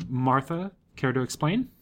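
A man's voice: medium pitch, expressive, takes it slowly, background noise. Man playing a blunt, matter-of-fact team member. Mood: blunt, wry. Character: blunt, practical woman on an expedition team. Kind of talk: hobby audio drama acting.

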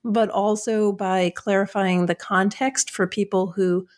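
A woman's voice: mid-range voice, steady tone, a little slow. A woman hosting an interview, asking follow-up questions and praising the guest's book with enthusiasm. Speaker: podcast host.

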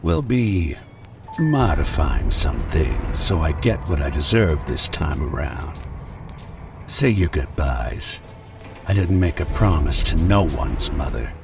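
Slow, gravelly, menacing mercenary villain. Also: male voice, extremely low pitch, sing-song, recorded from a distance, over music, exaggerated voice. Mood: cold menace. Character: cruel, mocking male half-machine mercenary villain. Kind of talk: radio drama acting.

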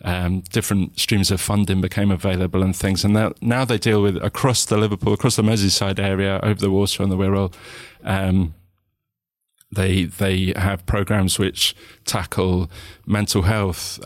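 A man with a southern English accent, a guest in an interview, talking reflectively with many pauses, restarts and "you know"s. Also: sits low, level tone, no room sound. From England.

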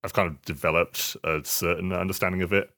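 Male podcast co-host. Very low, forceful voice, halting casual conversational delivery. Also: little pitch movement. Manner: halting, hesitant delivery with stammered repetitions. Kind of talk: casual chat.

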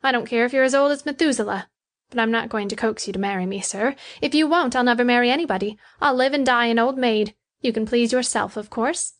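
Lady doing a spirited lovestruck young girl for an audiobook, high voice, stubborn and emphatic. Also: fast, lightly acted. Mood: indignant, defiant. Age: young adult. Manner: emphatic, earnest. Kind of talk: audiobook character dialogue.

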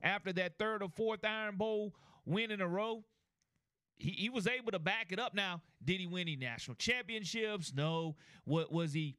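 A man co-hosts a sports talk show, making earnest points and often stumbling over his first words. His voice is high, bright and crisp. The sound is close and dry. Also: normal speed, dry recording. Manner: earnest, repeats words when starting sentences. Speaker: co-host.

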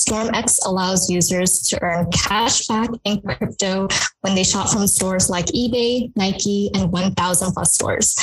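A female podcast co-host reading a scripted introduction in a steady delivery. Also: medium pitch, husky, poor audio.

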